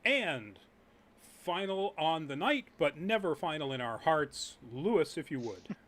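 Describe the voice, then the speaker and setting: A man's voice: fairly high pitch, sing-song, measured pace, fairly bright tone. A man hosting a live chat show, upbeat and often laughing as he runs through the news. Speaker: host.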